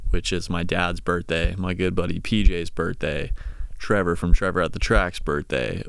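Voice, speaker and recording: A man's voice: low voice, fairly even intonation, normal speed, vocal fry, fairly bright tone, clean tone, no echo. A man in his thirties talking casually and reflectively on a solo podcast, in a rambling, conversational way. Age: 30s. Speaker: podcast host, session guitarist.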